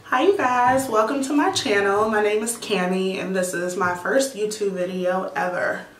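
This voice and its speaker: A woman in her thirties answering viewer questions in a casual vlog, chatty and relaxed with many "um"s and "like"s. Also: mid-range voice, moderate pace, roomy sound, a little hiss or hum, low-quality recording. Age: 30s. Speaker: YouTuber, teacher. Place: indoor room at home.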